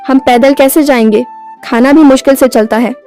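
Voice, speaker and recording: A woman's voice: high-pitched, fairly even intonation, normal speed, dark tone, soft background music, natural voice. A woman playing a poor, devout village wife in a moral cartoon story, earnest and pleading as she longs for a child. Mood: weary sorrow.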